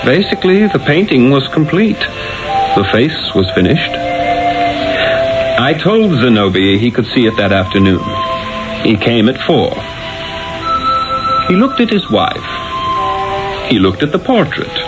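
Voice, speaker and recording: An actor playing a proud, reflective Renaissance master painter who tells his own story in a radio drama, theatrical and deliberate. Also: medium pitch, sing-song, loud music behind the voice. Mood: reflective, wistful. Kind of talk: 1970s radio drama acting and narration. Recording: dull lo-fi radio broadcast recording.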